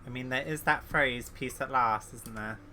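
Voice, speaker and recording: A man's voice: mid-range voice, normal speed, pushed and bright, slight background noise. A man giving casual gameplay commentary as a streamer, sometimes reading dialogue aloud. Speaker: game streamer.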